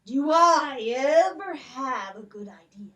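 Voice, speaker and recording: A greedy storybook giant acted out by a woman for a puppet show, hungry and gloating. He draws his words out very slowly and theatrically. The voice sounds a little way off from the microphone. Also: high voice, expressive, a bit bright, recorded from a distance, lo-fi sound, exaggerated voice. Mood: scheming. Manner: slow, gloating, drawn-out delivery. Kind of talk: children's puppet show folk tale.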